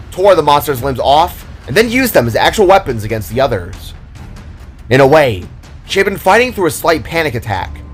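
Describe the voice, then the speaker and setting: A man reading scripted fantasy narration in a dramatic storytelling style. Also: medium pitch. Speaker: author and narrator. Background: music.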